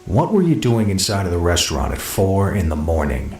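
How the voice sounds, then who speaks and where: A man's voice: low-pitched, huge pitch swings, moderate pace, darkish tone, echoey room, faint music behind, full character voice. A man voicing a male police detective who presses a suspect with pointed questions. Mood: stern. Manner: pressing, interrogating.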